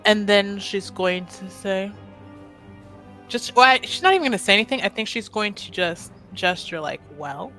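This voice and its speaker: A woman's voice: medium pitch, lively intonation, normal speed, sharp tone, soft background music. A woman casually describing what her character does as a player in a tabletop roleplaying game.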